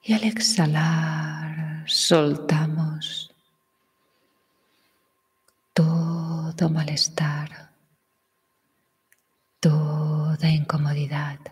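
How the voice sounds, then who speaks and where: A woman leads a meditation in a hushed, breathy half-whisper. She speaks very slowly in a low register and leaves long gaps between phrases. Her voice trails off into a long, lingering reverb. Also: completely flat delivery. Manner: soft breathy whisper, slow pauses. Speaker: meditation guide. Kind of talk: guided meditation.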